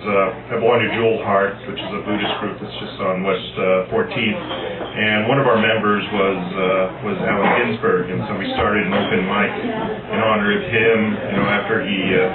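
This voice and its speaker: A man gives a live poetry reading at a cafe open mic, emphatic and dryly humorous in a mid-pitched voice. He sounds distant from the mic in an echoey room. Loud crowd noise surrounds him. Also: phone-quality sound. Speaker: amateur poet reading own work at a poetry night. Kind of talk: live poetry reading with informal asides to the audience. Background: loud audience chatter.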